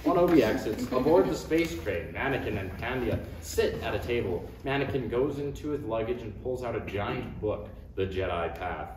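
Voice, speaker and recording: A man's voice: medium pitch, expressive, normal speed, few pauses. A man reading stage directions as narrator at a live table read. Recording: echoey noisy room, distant mic.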